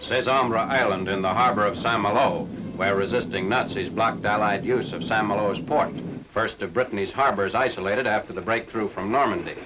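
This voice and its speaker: A man's voice: on the low side, moderate pace, over music, poor audio. An American man reading scripted military newsreel narration in a formal, clipped, matter-of-fact way on a 1940s film soundtrack.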